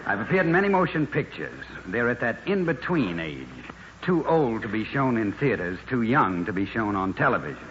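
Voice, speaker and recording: A man's voice: lowish voice, sing-song, moderate pace, highs cut off, noisy background. A man, an actor, giving a scripted comic monologue on a 1950s radio broadcast.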